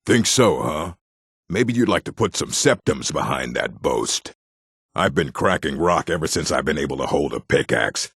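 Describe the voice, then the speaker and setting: A proud, gruff orc warrior from a video game. The voice sits extremely low and is thick with gravel. He speaks bluntly and with command, his pitch moving expressively, in a very dry, close sound. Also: no echo, full character voice. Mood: boastful, gruff. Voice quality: gravelly, husky. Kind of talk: scripted video game character lines.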